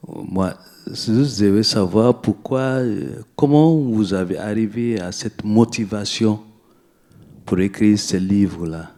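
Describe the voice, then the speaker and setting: Low slow-talking guy with an English accent, asks a halting question from the audience. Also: warm tone. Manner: halting, careful speech. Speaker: audience member. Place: library event room.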